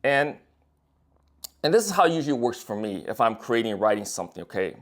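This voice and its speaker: A man's voice: medium pitch, big pitch swings, fast. A young man speaks in a loose, unscripted monologue, blunt and peppered with profanity. He circles his points with restarts and fillers, in an ordinary mid-range voice. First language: English. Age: young adult. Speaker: writer and designer. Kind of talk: casual monologue to camera.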